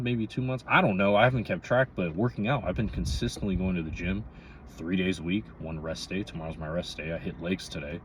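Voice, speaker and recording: A man in his twenties giving a casual, candid, rambling monologue to viewers, often checking in with "you know.". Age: 20s, twenty-three. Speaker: vlogger. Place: inside a parked or running car. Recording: noisy, vehicle rumble inside car.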